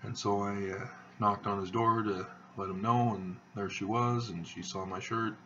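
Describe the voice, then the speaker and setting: A man hosting his own video channel, talking in a slow, halting monotone with many fillers as he rambles. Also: fairly low pitch, recorded from a distance, dull audio, a little hiss or hum. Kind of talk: rambling monologue to camera.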